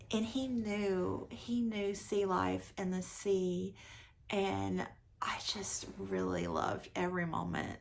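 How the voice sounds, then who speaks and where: Unhurried, enthusiastic woman chatting about books. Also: mid-range voice, vocal fry, slight background noise. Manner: enthusiastic, effusive, repeats words for emphasis. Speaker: book vlogger. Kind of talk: casual book review chat.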